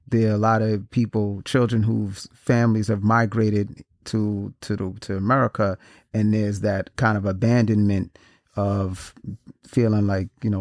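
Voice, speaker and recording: A man hosting a podcast interview in a casual, halting way, often restarting and repeating words. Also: lowish voice, no room sound, studio quality. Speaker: podcast host.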